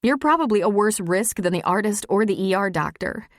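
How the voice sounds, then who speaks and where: A female narrator plays an earnest woman lawyer in love, her voice lifted higher and openly emotional. It is a candid audiobook character in a dry recording. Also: animated, brisk, no room sound, natural voice. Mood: urgent, pleading.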